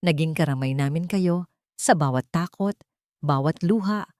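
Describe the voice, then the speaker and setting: A woman's voice: low-pitched, moderate pace, a little mellow, no echo, studio quality. A woman reading a scripted, dramatic story as narrator.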